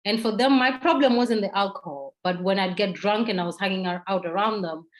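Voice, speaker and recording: A woman's voice: medium pitch, fairly quick. A woman with a Kenyan accent speaking candidly as an interview guest, telling personal stories.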